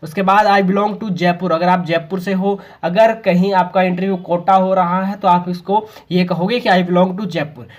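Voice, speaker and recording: A man with an Indian accent giving a spoken English lesson. He mixes in English phrases and says them slowly and deliberately as examples. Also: high-pitched. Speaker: teacher.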